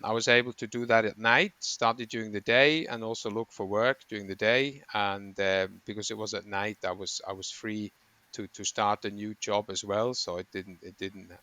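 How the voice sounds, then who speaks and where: A man's voice: on the low side, pushed and bright, clear, resonant tone, dry recording. A middle-aged man from Switzerland, a running coach, talking in an interview with rambling, self-correcting explanations. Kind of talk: conversational interview.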